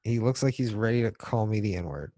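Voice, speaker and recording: A man's voice: lowish voice, expressive, a little fast, dark tone, no room sound. A man co-hosting a podcast in casual, joking chat, slangy and swearing now and then. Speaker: podcast co-host.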